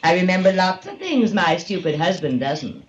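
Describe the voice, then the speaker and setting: A woman's voice: lowish voice, sing-song. An actress playing a scheming blackmailing woman in a 1940s radio drama, sly and mocking. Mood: taunting. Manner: sly, mocking, drawn-out delivery. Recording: lo-fi old radio transcription with hiss.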